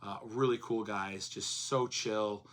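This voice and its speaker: A man talks to his viewers in a loose, rambling vlog voice, still hoarse from a cold. His tone is bright and forceful, sitting a little low in pitch. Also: steady tone, takes it slowly. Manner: rambling, chatty, frequent fillers. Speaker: comics vlogger. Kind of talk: casual vlog narration.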